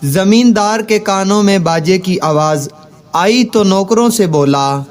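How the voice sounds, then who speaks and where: Male storyteller for kids' moral tales, high-pitched voice, very unhurried pacing. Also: music in the background. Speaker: narrator. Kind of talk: scripted children's moral story narration.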